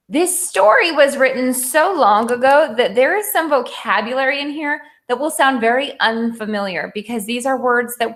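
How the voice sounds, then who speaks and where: A woman's voice: a little high, a bit bright. A woman teacher giving a read-aloud lesson for children, explaining things simply and instructively. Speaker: elementary school teacher.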